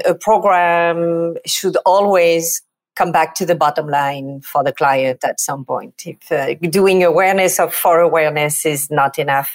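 A middle-aged woman from Provence with a French accent, telling her story as a guest on a podcast with lively enthusiasm. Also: fairly low pitch. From France, Provence. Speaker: guest, PR agency president. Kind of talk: podcast interview.

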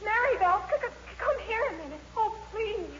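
A woman's voice: very high-pitched, expressive, normal speed, fairly bright tone. An actress playing a flustered, easily embarrassed teenage schoolgirl, stammering and pleading breathlessly in a 1950s radio drama. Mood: nervous, pleading. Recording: muffled, noisy vintage radio broadcast.

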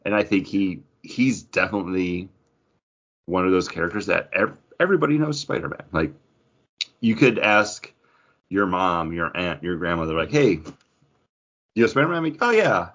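A man's voice: sits low, expressive, moderate pace, long pauses, a bit bright, muffled recording. A man hosting a podcast, talking casually and enthusiastically about pop culture in a rambling, conversational way. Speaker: podcast host.

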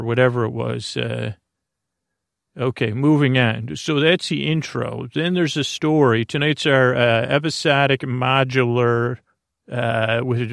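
A man hosting a sleep podcast in a soft, lulling voice with a gravelly edge. He meanders from thought to thought with many pauses and restarts. His voice is recorded close and dry, with no room sound. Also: medium pitch, no room sound. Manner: meandering, hesitant, full of fillers and restarts. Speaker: podcast host. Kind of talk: rambling bedtime podcast talk.

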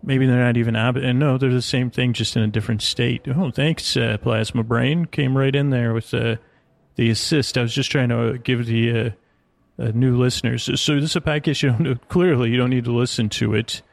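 Creaky-voiced man rambling as a sleep podcaster. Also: mid-range voice, steady tone, no room sound. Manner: meandering, halting, stammering, digressive. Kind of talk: rambling bedtime monologue.

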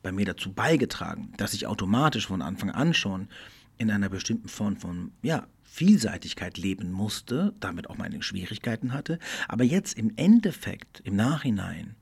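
A middle-aged man hosting a podcast, speaking in a thoughtful, earnest monologue that often slows and drops almost to a whisper. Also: fairly low pitch, big pitch swings, vocal fry, breathy edge. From Germany. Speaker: podcast host. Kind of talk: reflective solo podcast monologue.

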